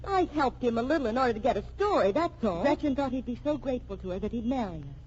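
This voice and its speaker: A woman's voice: fairly high pitch, expressive, fast talker, a little mellow, close to an everyday voice. A woman playing a cool, accusatory wife in a vintage radio mystery drama. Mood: cool, insistent. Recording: dull, noisy old radio recording.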